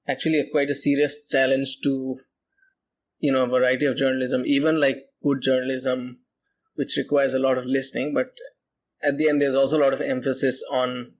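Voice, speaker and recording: A man on a podcast panel reflecting tentatively, with halting phrasing as he reasons. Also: medium pitch. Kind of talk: reflective discussion.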